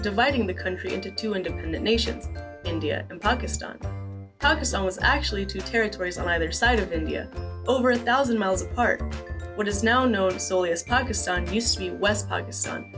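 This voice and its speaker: A woman reading a scripted historical explanation in a measured way. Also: medium pitch. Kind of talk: scripted narration. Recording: voice-over with music behind.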